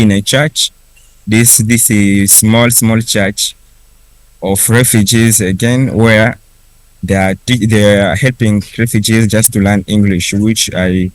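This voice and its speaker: A young man whose first language is Kiswahili, telling his personal story slowly in English, with pauses and restarts. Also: fairly low pitch, no room sound, low-quality recording. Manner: unhurried, with frequent restarts and pauses. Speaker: refugee speaker at a conference.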